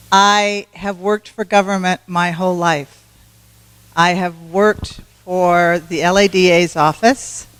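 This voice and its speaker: A woman giving a campaign speech to a club meeting, loud and self-assured, with restarts. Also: on the low side, clear, resonant tone, long reverb tail. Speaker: congressional candidate.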